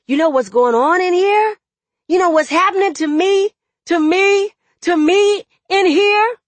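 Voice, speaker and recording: A woman's voice: lowish voice, slow pace, no room sound. A woman voicing a desperate young man in jail in an audiobook, angry and tender by turns, with urgent, streetwise phrasing. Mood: agitated, angry. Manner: urgent, profane, vernacular phrasing. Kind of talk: dramatic audiobook character dialogue.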